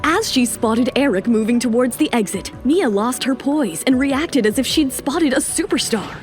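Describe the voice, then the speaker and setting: A woman reading scripted narration for an audio drama with smooth, even storytelling. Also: sits high, moderate pace, faint music behind.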